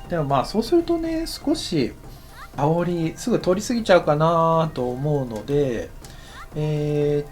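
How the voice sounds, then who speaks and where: Man teaching casually, lively swinging intonation. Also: fairly high pitch. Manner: conversational, counts aloud while working. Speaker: animation director teaching. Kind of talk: casual instructional lesson.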